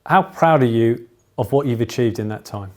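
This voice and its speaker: A man working as an interviewer, putting measured, prepared questions and remarks to a guest. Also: medium pitch, animated.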